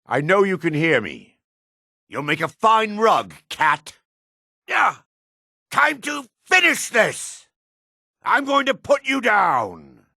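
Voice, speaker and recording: Proud dark elf, fantasy game character, gruff, fry-laden rasp, theatrical, dry studio sound. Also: male voice, sits high, very animated, takes it slowly, long pauses, dry recording, exaggerated voice. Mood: taunting, aggressive. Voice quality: gravelly, rasping.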